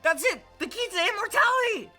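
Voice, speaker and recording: Woman acting a high, bright, excitable podcast character. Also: fairly quick, soft background music, exaggerated voice. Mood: manic triumph. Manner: dramatic, rambling, over-excited. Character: excitable, scatterbrained female podcast character ranting about immortality. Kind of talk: comic audio drama.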